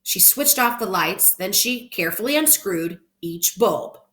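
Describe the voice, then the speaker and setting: Very animated woman teacher reading to children. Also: medium pitch, unhurried. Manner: expressive, encouraging, addressing children simply. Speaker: elementary school teacher. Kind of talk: children's read-aloud with casual talk to families.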